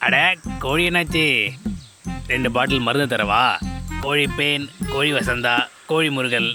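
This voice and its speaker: A man plays a sly, hungry cartoon predator in a children's story, posing as a remedy seller. He schemes and hawks in a wheedling sing-song at an ordinary male pitch. Loud music plays behind him. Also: measured pace, lightly acted. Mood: salesman patter.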